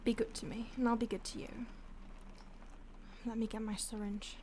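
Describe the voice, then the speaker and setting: A woman voicing a mocking, predatory female vampire stalker who talks down to her victim, soft-spoken and slipping into whispers. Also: fairly high pitch, little pitch movement, moderate pace, a little hiss or hum, close to an everyday voice. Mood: coolly threatening. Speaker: audio roleplay voice actor. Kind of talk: audio roleplay acting.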